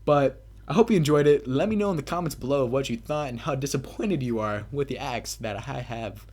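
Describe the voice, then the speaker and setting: A man's voice: mid-range voice, fairly quick, a little mellow. A young man telling a casual, rambling story as a gaming commentator, swearing freely with self-mocking bravado. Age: young adult. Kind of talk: casual rambling party storytelling over gameplay.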